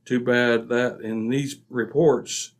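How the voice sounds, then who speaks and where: Male actor as composed British sleuth, brisk, exact, self-assured, classic radio mystery style. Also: mid-range voice, steady tone, lightly acted. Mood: calm, wry. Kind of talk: old-time radio mystery acting.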